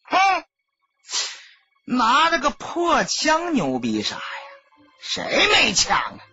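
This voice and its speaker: Man acting sneering reckless gangster, swinging pitch. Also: huge pitch swings, slow pace, bright, forceful tone, slightly rough, exaggerated voice. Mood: taunting menace. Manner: taunting, swearing, goading. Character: disfigured, reckless, sneering male gangster with a gun. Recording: dull lo-fi audio, music behind.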